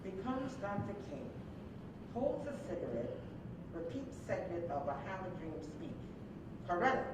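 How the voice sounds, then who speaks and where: Echoey low-voiced woman reading stage directions. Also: big pitch swings, measured pace. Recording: echoey hall, distant mic, noisy rumble.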